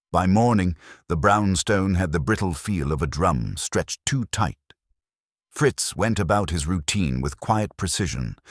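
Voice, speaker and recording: A male narrator with a very low, bass voice tells a detective story in scripted first person. His delivery is measured and dramatic, with animated pitch movement. The sound is dry, with no room to it. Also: dry recording. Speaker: audiobook narrator.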